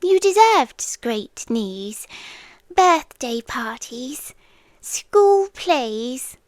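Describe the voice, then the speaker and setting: Woman acting very slow, hushed British monster guardian. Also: high voice, sing-song, no echo, full character voice. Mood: fond, wistful. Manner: hushed, often whispering, with lingering pauses. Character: ancient female monster, tender, possessive, eerie secret protector. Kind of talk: slow intimate ASMR roleplay.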